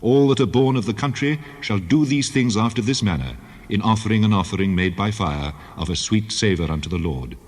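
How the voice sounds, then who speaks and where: Male actor as God, deep husky voice, commanding and measured, scripture drama, long reverb. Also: lively intonation. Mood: solemn, instructing. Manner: solemn, formal, measured. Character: God speaking law and judgement, solemn and commanding.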